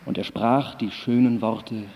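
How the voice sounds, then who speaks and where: A man's voice: mid-range voice, fairly even intonation, unhurried, very noisy. A man on stage reciting humorous verse. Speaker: stage performer. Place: small broadcast hall, public event. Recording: reverberant hall, voice off mic.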